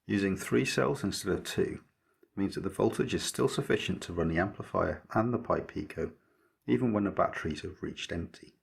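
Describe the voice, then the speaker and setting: A man narrating a scripted electronics project tutorial as its maker, explaining methodically in a measured way. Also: low-pitched, creaky, husky.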